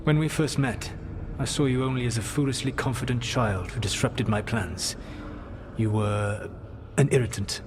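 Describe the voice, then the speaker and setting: An actor playing a proud, regretful ancient elven god in a video game, speaking in a deliberate, formal, reflective way. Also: male voice, mid-range voice, background noise, close to an everyday voice. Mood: reflective, candid. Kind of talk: dramatic video game acting.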